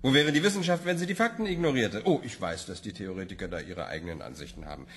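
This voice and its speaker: Ein Mann als ungeduldiger, streitlustiger Forscher. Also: male voice, on the low side, fast, close to an everyday voice. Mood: argumentative. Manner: argumentative, lively. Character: impatient pompous male empiricist scientist.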